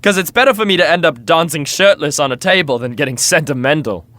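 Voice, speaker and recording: A man's voice: a little high, fast talker, sharp tone. An actor playing a young, tipsy, self-deprecating party-boy prince in a modern comedy audio drama, with slangy, boyish bravado. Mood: tipsy, playful. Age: young adult.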